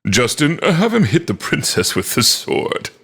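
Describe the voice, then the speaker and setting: A man's voice: fairly high pitch, very animated, measured pace, fairly bright tone, slightly rough, pitched above the performer's own voice, full character voice. A man voicing a cold, officious police inspector and father in a storybook, speaking formally. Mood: dark.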